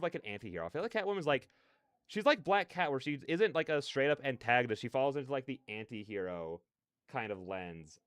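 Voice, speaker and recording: A man's voice: medium pitch, clean tone, no echo. A man hosting a livestream, chatting casually in a rambling, slangy, digressive way. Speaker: livestreamer hosting a reaction stream.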